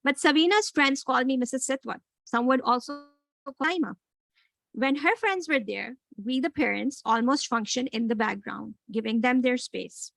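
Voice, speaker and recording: A woman in her forties with a background and a fairly high voice with a gravelly edge. She speaks with earnest reflection, pausing and restarting often. She moves between personal talk and readings from her own book in a dry, close-sounding space. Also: fairly bright tone, no room sound, poor audio. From Karachi, Pakistan. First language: Urdu. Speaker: author and guest speaker.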